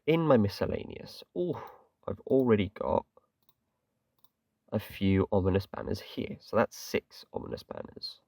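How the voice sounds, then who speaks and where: English man, low gravelly voice, chatty self-deprecating game commentary, somewhat distant from the mic. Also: background noise. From England. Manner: chatty, rambling, self-deprecating, lots of fillers like "kinda". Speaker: gaming channel host. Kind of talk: casual gameplay commentary.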